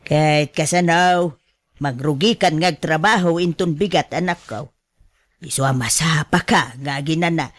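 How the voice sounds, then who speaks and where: A woman's voice: low voice, normal speed, close to an everyday voice. A woman acting a money-minded, indulgent middle-aged mother in a radio drama, chatty and conniving. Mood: scheming, amused.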